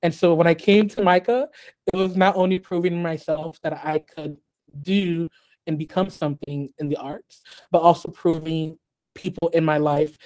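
Soft-spoken man, mellow tone. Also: on the high side, fairly even intonation, low-quality recording. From Haiti, raised in the US. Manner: measured, many ums and restarts. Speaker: guest artist. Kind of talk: podcast interview.

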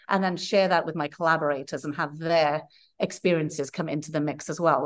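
A woman from Wales, a playwright giving long, earnest, rambling answers in a podcast interview. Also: lowish voice. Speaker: playwright guest.

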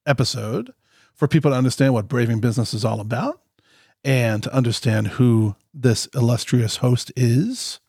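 A man's voice: mid-range voice, normal speed, vocal fry, mellow, slightly rough, no echo, clean studio sound. A man in his fifties co-hosting a podcast, jokey and chatty as he reads out a long guest introduction. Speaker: co-host. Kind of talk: podcast interview with an ad read.

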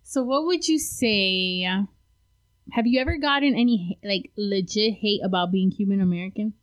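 A woman hosting a casual interview, playful and quick to laugh, saying "like" often. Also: medium pitch.

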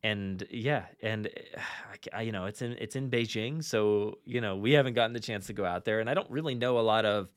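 Low-voiced man chatting, halting but enthusiastic. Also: no room sound, studio quality. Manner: hesitant, repeats and restarts phrases, enthusiastic. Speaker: podcast host. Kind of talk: casual fan chat, rambling countdown.

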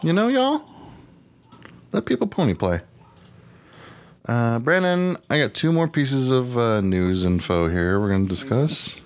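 A man's voice: medium pitch, sing-song, measured pace, pauses often. A man with an American accent chatting casually as a comedic podcast co-host, exclamatory, slangy and profane.

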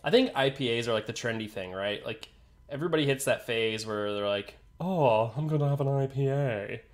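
A man's voice: medium pitch, brisk. Congested laid-back young man. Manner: laid-back, swears, laughs often. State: congested from a sinus infection. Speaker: podcast co-host. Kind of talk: casual chat over beers.

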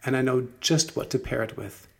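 Young storybook heroine, played by a man: earnest, informal, strongly gravelly with vocal fry. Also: mid-range voice, brisk, lightly acted. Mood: delighted. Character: earnest, hardworking young woman, Cinderella type. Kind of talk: storybook character reading.

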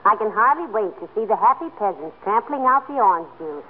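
An actress playing a patient, wisecracking wife, dry and gently teasing, in a 1940s radio comedy. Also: female voice, medium pitch, lively intonation, fairly quick, narrow, tinny audio, lightly acted. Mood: wry. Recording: thin, noisy 1940s radio broadcast.

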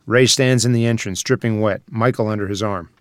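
A man's voice: on the low side, fairly even intonation, brisk, dry recording, clean studio sound. A man reading out screenplay scene headings and stage directions as a scripted narrator.

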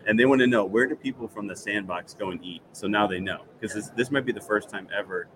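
A man's voice: lowish voice, fast, background noise. A man hosting a casual podcast interview, chatty and upbeat, asking friendly questions. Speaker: podcast host.

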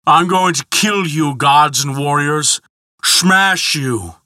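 A man's voice: fairly high pitch, lively intonation, a little slow, sharp tone, dry recording, studio quality, voice pushed up. A man voicing a drunken, boastful, belligerent giant in a storybook, bellowing with slurred speech. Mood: threatening. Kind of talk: dramatic storybook narration dialogue.

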